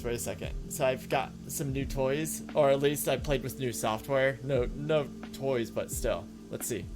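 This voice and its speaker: Chatty man streaming a game. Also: mid-range voice. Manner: rambling, chatty, answers chat and talks to himself. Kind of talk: casual live-stream chat while playing. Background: background music.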